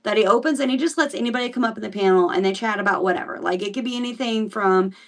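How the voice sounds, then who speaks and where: Gravelly woman chatting through a livestream Bible talk. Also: mid-range voice, rapid-fire, distant mic. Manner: chatty and rambling, with frequent fillers and restarts. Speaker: livestream host teaching the Bible. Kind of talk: casual livestream Bible teaching with viewer shout-outs.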